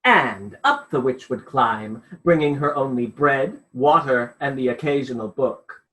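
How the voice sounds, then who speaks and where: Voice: medium pitch, animated, unhurried, a bit bright, poor audio. An actor plays a stuffy storybook narrator in a radio play. The delivery is theatrical and widely swooping, insistent and controlling, easily flustered. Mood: storytelling.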